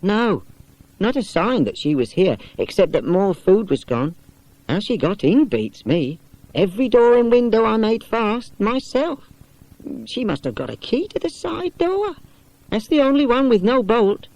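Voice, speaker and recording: Man voicing an indignant, high-pitched older lady companion. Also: very animated, measured pace, a little mellow, dry recording, exaggerated voice, rough recording. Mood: flustered. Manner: indignant, exclamatory. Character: indignant, flustered older lady's companion, Miss Wardle.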